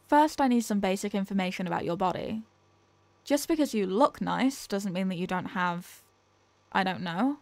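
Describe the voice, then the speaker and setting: A woman's voice: medium pitch, dry recording, lightly acted. A woman playing a condescending female alien scientist in a scripted ASMR roleplay, speaking in a clinical, formal, dismissive manner. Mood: skeptical, clinical. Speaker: ASMR audio roleplay performer.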